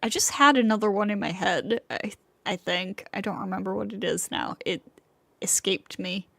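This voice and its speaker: A woman's voice: mid-range voice, moderate pace, creaky, fairly bright tone, no echo. A woman livestreaming a video game, casually joking and reacting on a close mic.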